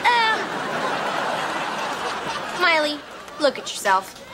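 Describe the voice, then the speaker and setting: A young woman playing a bossy, dramatic teenage best friend with a shrill voice in a sitcom. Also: big pitch swings, extremely slow, over a noisy crowd, very noisy, heavily acted. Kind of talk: sitcom acting. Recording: music and studio audience behind.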